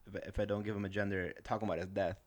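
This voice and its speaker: A man's voice: fairly low pitch, level tone, racing. An American man co-hosting a podcast, bantering casually with dry, teasing jokes and a hesitant delivery. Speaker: podcast co-host.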